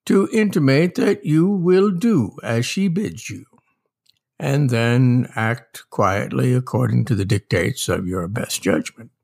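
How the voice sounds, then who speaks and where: A man playing a wry older humorist who gives mock-earnest advice to children in a deliberate, drawling, husky theatrical reading. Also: a little high, full character voice. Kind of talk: theatrical dramatic reading of a comic essay.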